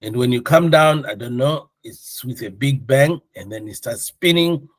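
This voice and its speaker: A man's voice: medium pitch, normal speed, no echo. Man teaching in a dry, close sound. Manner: jokey, conversational, questioning. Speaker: air traffic control course instructor. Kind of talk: informal classroom teaching.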